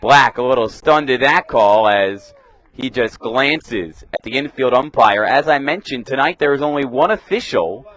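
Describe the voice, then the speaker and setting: A young man calling live baseball play-by-play for radio in a crisp announcer delivery, punching up the pitch calls. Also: animated, moderate pace, fairly bright tone, rough recording. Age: young adult. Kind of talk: live sports commentary.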